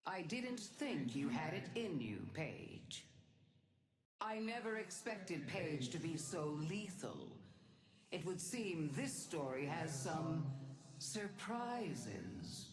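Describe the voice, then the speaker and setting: Regal bossy archmother for a game, really deep voice, measured, echoey. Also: very animated, crowd noise. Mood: regal approval. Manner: stately, measured, commanding. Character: imperious, regal female Archmother praising her champions. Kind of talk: scripted video game voice lines.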